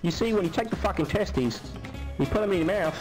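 A man's voice: sits high, animated, brisk, faint music behind, low-quality recording. A man voicing a crude, foul-mouthed Australian troll in a game's voice chat, swearing constantly and taunting. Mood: rowdy. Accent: put-on Australian. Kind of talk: game voice chat roleplay.

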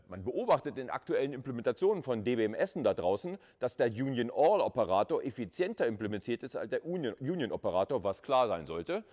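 A man's voice: lowish voice, darkish tone. A man lecturing at a university in an informal, conversational way, thinking aloud and putting questions to students.

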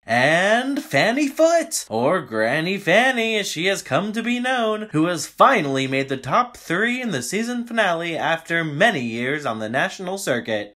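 A man's voice: sits high, big pitch swings, moderate pace, hardly any pauses, pushed and bright, exaggerated voice. A man playing a slick TV contest host in an audio drama, with grand announcer delivery. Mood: showy, upbeat. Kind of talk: audio drama acting as game-show announcer.

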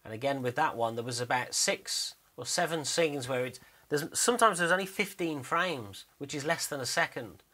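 Man, bright crisp-edged tone, mid pitch, casual behind-the-scenes narration, rambling, hesitant, self-deprecating. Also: lively intonation, normal speed, slight background noise. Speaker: animator and video narrator. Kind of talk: casual screen-recording walkthrough narration.

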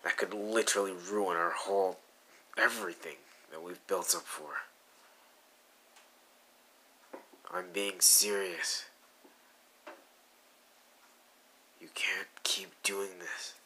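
A man voicing a possessive young male villain in a fan audio roleplay, speaking softly and drawling, both teasing and worried. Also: low voice, fairly even intonation, normal speed, a little hiss or hum. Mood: stern, worried.

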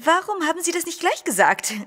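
A woman's voice: extremely high pitch, big pitch swings, fairly quick, pushed and bright, exaggerated voice. A woman playing a superstitious, wary lady poet in an audio drama, with an affected, grandly polite and dramatic manner. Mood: gracious, guarded.

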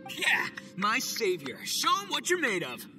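Brash slangy oni gang boss, swings wildly up and down, game music behind. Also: male voice, sits high, huge pitch swings, a little slow, exaggerated voice. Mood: boisterous. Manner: boisterous, slangy. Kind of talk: video game voice acting.

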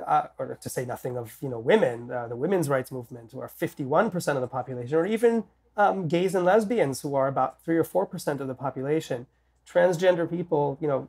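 A man in his thirties, his voice a touch nasal from a head cold and sitting fairly high. He talks in a measured, deliberate way, restarting phrases and filling gaps with ums. He is heard over a video call from a home office. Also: gravelly, darkish tone, slightly rough. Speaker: political scientist guest. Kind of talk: interview conversation.

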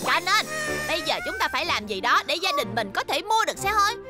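A woman voicing a bossy, scheming, expressive little schoolgirl in a cartoon dub. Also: very high voice, fast. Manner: expressive, pleading and indignant. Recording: music behind the voice.

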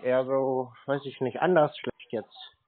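Deadpan man on a thin phone line. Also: mid-range voice, measured pace, slight background noise. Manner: deadpan, obtuse, drily contrary. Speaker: scam baiter playing along on a call. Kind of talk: unscripted phone conversation. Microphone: telephone.

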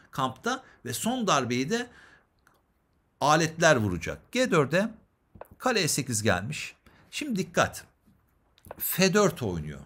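A man's voice: a little high, sharp tone, husky. A man giving chess game commentary as an instructor, explaining move by move and putting rhetorical questions to the viewers.

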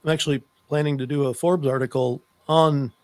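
A man's voice: a little high, fairly even intonation, brisk, a little mellow, dry recording. A middle-aged man hosting a conversational interview podcast, speaking into a close desk microphone on a video call. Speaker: podcast host. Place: book-lined room, on a video call.

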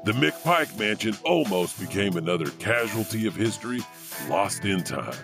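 A man's voice: lowish voice, expressive, creaky, music in the background. A man playing an eccentric, awkward janitor who hosts a horror audio anthology with rambling asides. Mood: chatty. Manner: halting, rambling, chuckling asides. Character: eccentric, awkward, creepy male janitor storyteller. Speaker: host. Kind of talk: comic horror anthology hosting.

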